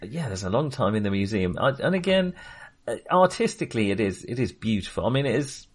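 A man's voice: mid-range voice, sing-song, fairly quick, darkish tone, slightly rough. A man co-hosting a casual film discussion, rambling and often interrupting himself as he searches for words. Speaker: film podcast co-host.

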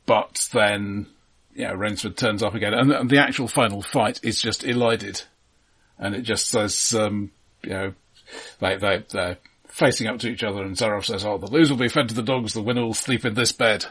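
A man's voice: fairly low pitch, fairly even intonation, normal speed, a bit bright, husky. A man co-hosting a film podcast, talking casually with dry, wry asides. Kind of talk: casual conversational film discussion.

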